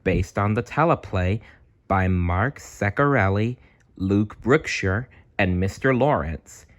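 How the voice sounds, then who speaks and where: A man reading a children's storybook aloud in an expressive, playful way, with chatty asides. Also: on the low side. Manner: animated, unhurried, playful.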